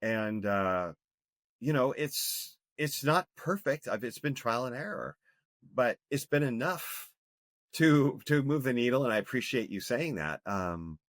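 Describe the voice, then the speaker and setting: A man's voice: medium pitch, expressive, a little slow, fairly bright tone, no room sound, clean studio sound. A man in his fifties, a health coach speaking earnestly and emphatically as a podcast guest, with frequent restarts. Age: 50s. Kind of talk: podcast interview.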